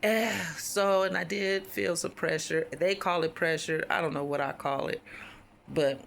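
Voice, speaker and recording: A woman with a deep, raspy voice, hoarse while healing, telling a personal story in a casual, rambling way with many fillers. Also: a little slow. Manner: rambling, frequent fillers and restarts. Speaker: vlogger.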